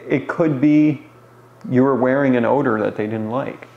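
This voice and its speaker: A man around forty gives an informal explanation to camera as a hobby teacher, pausing as he phrases things, recorded in a small bare room. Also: medium pitch, creaky, dull audio. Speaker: beekeeper answering beginners' questions. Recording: roomy echo, faint background noise.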